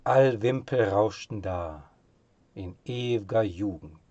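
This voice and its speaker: A man reciting literary poetry in a solemn, measured and expressive manner, with phrase endings that drop to a hush. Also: on the low side, dry recording, lo-fi sound.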